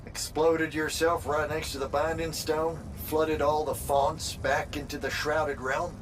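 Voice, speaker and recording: A blunt old-hand battlemage from a fantasy game, voiced by a man, a little high in pitch and slow in pace. He talks in dry, clipped, colloquial phrases. There is clear ambient noise behind him. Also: level tone, natural voice. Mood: grave, wry. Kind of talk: dramatic video game acting. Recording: loud rumbling ambience behind the voice.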